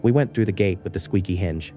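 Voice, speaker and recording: A man with a low, mellow voice tells a creepy small-town story in scripted first person. His delivery is measured and understated, with soft music faintly underneath. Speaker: narrator.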